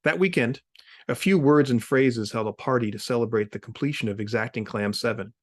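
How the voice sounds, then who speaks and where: A man's voice: medium pitch, a little fast. A man introducing and reading his own short story as its author, in the style of a literary reading. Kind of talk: informal spoken introduction, then literary reading. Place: remote video reading series.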